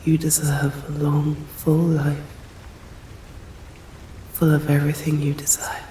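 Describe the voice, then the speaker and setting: A woman with an English accent voicing a stern, hungry yet protective female vampire in an ASMR roleplay, whispering slowly in formal, old-fashioned phrasing. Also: low voice, completely flat delivery, mellow, roomy sound, slight background noise, rough recording. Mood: hushed, tender.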